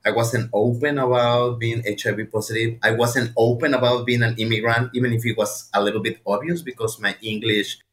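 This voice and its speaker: A man's voice: mid-range voice, steady tone, brisk, few pauses, fairly bright tone. Mexican-accented man in an echoey room. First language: Spanish. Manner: earnest, direct, uses repetition for emphasis. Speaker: guest speaker sharing personal testimony. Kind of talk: personal testimony and encouragement, addressed to listeners. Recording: roomy, echoing sound.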